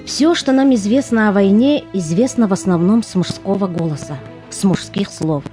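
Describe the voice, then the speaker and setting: A woman's voice: medium pitch, moderate pace, warm tone, soft background music, lightly acted. A woman delivering solemn, reflective scripted narration as the narrator of an amateur audio play. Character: solemn female narrator reflecting on women at war.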